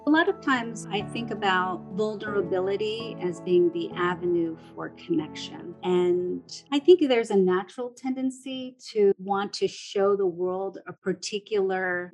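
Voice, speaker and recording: A woman talks in a middle register. She explains her ideas conversationally, in the manner of someone being interviewed. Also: medium pitch.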